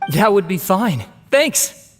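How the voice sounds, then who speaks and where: Courteous, lively, higher-voiced envoy. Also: male voice, slow, long reverb tail, faint music behind, exaggerated voice. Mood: courteous, diplomatic. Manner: courteous, formal. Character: polite, smooth-talking male envoy of a foreign organization. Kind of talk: video game voice acting.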